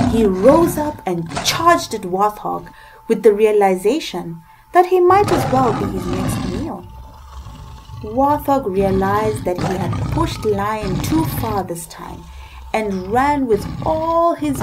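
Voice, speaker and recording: A woman narrating a scripted folktale as an expressive storyteller, with deliberate emphasis. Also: a little high, noisy background.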